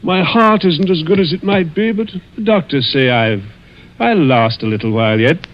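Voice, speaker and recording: An actor playing a suave, malicious older millionaire in a 1940s radio mystery, with silky, taunting, deliberate speech. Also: male voice, fairly high pitch, natural voice. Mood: gleeful menace. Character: suave, malicious, wealthy older stepfather plotting murder. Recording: muffled vintage 1940s radio recording.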